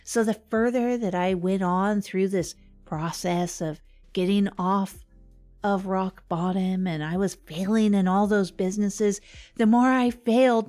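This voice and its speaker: A woman playing an earnest, rambling radio host in a comedy show, speaking in a halting, confiding way. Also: medium pitch, dark tone, lightly acted. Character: earnest, rambling woman radio host, self-help minded failed marketer. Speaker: podcast host character.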